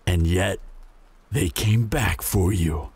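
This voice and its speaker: A man's voice: sits low, takes it slowly, a little mellow, slightly rough, no echo, full character voice. A man playing a menacing, smug apocalypse bandit in an audio roleplay, taunting and mock-friendly with a drawl. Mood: mocking, suspicious.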